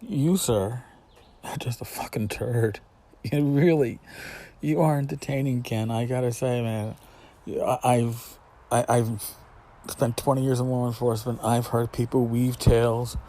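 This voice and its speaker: A middle-aged man hosting a casual solo podcast, talking in a blunt, sarcastic, sweary way with emphatic repetition and pauses for effect. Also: mid-range voice, steady tone, mellow. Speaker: podcast host. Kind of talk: casual spoken podcast monologue.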